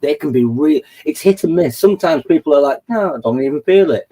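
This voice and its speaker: A man's voice: on the high side, lively intonation, fairly quick, mellow. A man, hosting a casual late-night chat, rambling and boasting and laughing at his own stories. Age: 40s. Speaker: host of a live chat panel.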